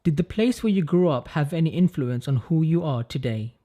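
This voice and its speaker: Higher-pitched, mellow-voiced male interviewer. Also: lively intonation, brisk. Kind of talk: scripted intro and interview questions.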